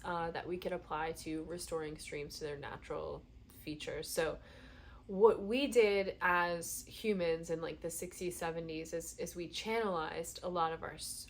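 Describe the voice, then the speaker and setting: A woman's voice: lowish voice, a little hiss or hum. Nasal, congested woman rambling. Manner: chatty, meandering, thinks aloud with fillers. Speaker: vlogger. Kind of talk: casual rambling monologue.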